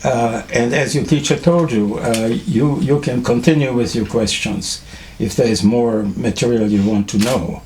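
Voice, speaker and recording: An older man from Czechoslovakia, German by first language, speaks to a school class at a mid pitch. He talks haltingly, with many fillers and restarts. A faint hiss sits under the recording. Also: a little mellow. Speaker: Holocaust survivor speaking to students.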